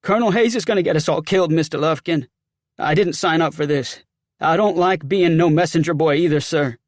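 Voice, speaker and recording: A man's voice: fairly high pitch, a little fast, dry recording, studio quality, pitched above the performer's own voice, lightly acted. A man voicing a scared young Confederate sergeant in an audiobook, with folksy, ungrammatical, blurting speech. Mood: agitated, protesting. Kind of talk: audiobook character acting.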